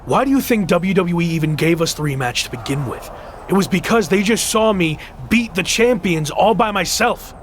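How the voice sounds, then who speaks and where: An actor playing a cocky, ambitious young wrestler in a video game, speaking in an assertive, argumentative way. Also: male voice, high-pitched, lively intonation, moderate pace, slight background noise. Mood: defiant. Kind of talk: scripted video game cutscene acting.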